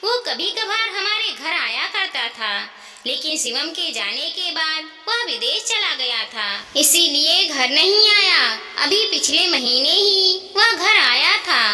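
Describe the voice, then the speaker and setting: Caring old widowed mother-in-law, read by a woman storyteller, earnest and persuasive, very bright, echoey. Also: very high voice, lively intonation, takes it slowly, exaggerated voice. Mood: reassuring, persuasive. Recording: echoey, reverberant hall-like sound.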